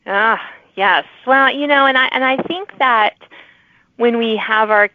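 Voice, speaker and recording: A woman hosting a conversational author interview, chatty and quick to react with little interjections. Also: on the high side. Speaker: podcast host. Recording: narrow phone-like sound, dry.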